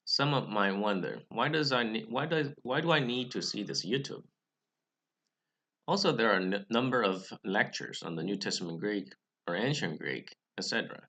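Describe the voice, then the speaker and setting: A man's voice: lowish voice, expressive, bright, forceful tone. A young man with English as his second language, giving a careful scripted talk about reading Greek scripture. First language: not English. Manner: careful, measured delivery with occasional restarts. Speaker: Bible study channel host. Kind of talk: scripted explanatory talk to camera.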